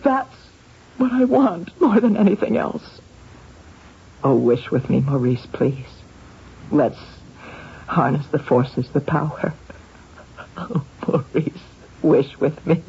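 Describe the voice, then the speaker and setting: An actress playing an anguished, neglected wife who pleads imploringly in old-time radio drama style. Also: female voice, deep voice, lively intonation, slow, long pauses, heavily acted. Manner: imploring, breathy melodramatic delivery. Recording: muffled radio broadcast recording with music.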